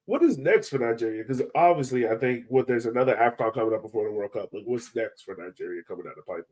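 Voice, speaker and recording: Casual male podcast host, mid-pitched. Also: fast, darkish tone. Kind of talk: casual interview chat.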